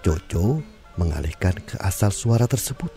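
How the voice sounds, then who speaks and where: A man narrating an audio drama in a calm, measured storytelling manner. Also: low voice, vocal fry, faint music behind.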